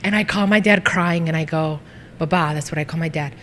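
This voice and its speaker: A woman's voice: sits low, fairly quick, slight background noise. Robust-voiced woman with lingering reverb. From United States. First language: Greek. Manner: robust, lively, storytelling. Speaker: guest, social media business owner. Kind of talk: casual interview conversation.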